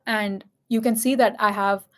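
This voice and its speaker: A woman's voice: mid-range voice, fairly even intonation, normal speed. A young woman from India with a light Indian accent, talking in an earnest, candid and reflective casual chat. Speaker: host and MC.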